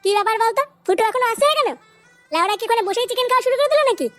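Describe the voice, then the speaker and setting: A brash little cartoon rascal. The voice is artificially raised to a shrill squeak and rattles along fast. He is crude and excitable, with light music underneath. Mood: impatient. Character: foul-mouthed cartoon boy, loud, cheeky, excitable drinking pal. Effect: pitch-shifted up. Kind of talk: comic cartoon dubbing.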